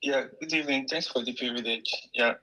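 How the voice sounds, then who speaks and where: A man's voice: medium pitch, a little fast, pushed and bright, rough recording. A man from the audience, speaking politely and rambling a little. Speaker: audience member.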